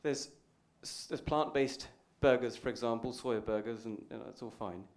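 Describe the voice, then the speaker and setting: A man in the audience asking a question, explaining his point deliberately. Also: medium pitch, a little mellow.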